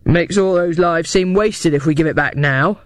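A man voicing a belligerent war veteran cafe owner in an audiobook, with a gruff, gravelly voice and blunt manner. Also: on the high side, fairly even intonation, slow pace, a little mellow, no echo, clean studio sound. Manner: blunt, muttering, colloquial.

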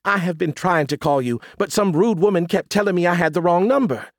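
A man's voice: high-pitched, brisk, no room sound, studio quality, pitched above the performer's own voice. A man voicing a prim, pleasant Southern churchgoing woman, polite and easily flustered, with a Southern accent. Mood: pleasant, eager. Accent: American Southern. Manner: genteel, polite, fluttery.

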